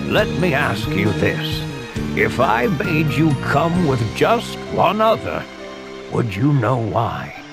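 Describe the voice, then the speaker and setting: A man's voice: medium pitch, wildly swooping pitch, takes it slowly, gravelly, heavily acted. A man voicing a kindly, teasing, ancient sage with old-fashioned, grandfatherly speech in a video game. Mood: grave, measured. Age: older. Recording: game music behind voice. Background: music.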